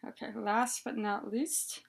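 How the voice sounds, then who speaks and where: A woman's voice: on the high side, level tone, takes it slowly, creaky, slightly rough. A young woman talking casually and chattily through a hands-on tutorial, explaining step by step as its host. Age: young adult. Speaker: head scarf tutorial host. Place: small room indoors, plain wall.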